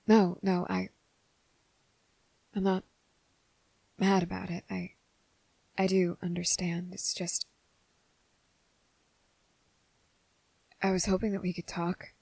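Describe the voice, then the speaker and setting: ASMR roleplay lead: earnest, nervous young man played by a woman, very even pitch, close dry sound, soft and halting. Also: no echo, heavily acted, low-quality recording. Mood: nervous, hesitant. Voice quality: soft, breathy, slipping into whisper. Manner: halting, hesitant, often pausing mid-sentence. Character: nervous, earnest, gentle young male trainee confessing his feelings. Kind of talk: ASMR roleplay addressed to the listener.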